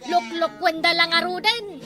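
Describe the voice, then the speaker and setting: A woman's voice: very high voice, fairly even intonation, measured pace, over music. A woman playing a bossy complainer who goads others, in an Ilocano comedy skit. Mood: indignant. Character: bossy complaining woman goading a meek captain.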